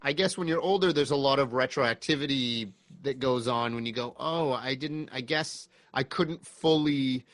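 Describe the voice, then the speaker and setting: Guy in his 30s chatting on a podcast, enthusiastic, thinks out loud, dry sound. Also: mid-range voice, moderate pace, few pauses, dry recording. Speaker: podcast guest. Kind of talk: casual chat.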